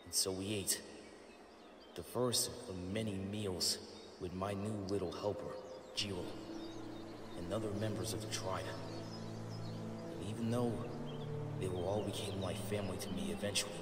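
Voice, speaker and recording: A man's voice: low-pitched, steady tone, normal speed, pauses often, echoey room, noisy background, close to an everyday voice. A man voicing a blind adult mutant turtle, wry and uncertain, in a motion comic. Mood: reflective narration. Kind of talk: motion comic voice acting and narration. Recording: loud music under the voice. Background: music.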